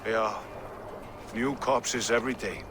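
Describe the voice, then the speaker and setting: A man's voice: on the low side, takes it slowly, music in the background. An actor playing a blunt, crude-tongued dockside watchman.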